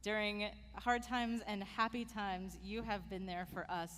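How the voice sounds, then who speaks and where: A woman's voice: medium pitch, a little slow, clean tone. A woman rabbi giving a heartfelt sermon tribute to a departing staff member in a synagogue. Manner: warm, heartfelt address to an honoree. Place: synagogue sanctuary. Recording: long hall reverb, faint noise.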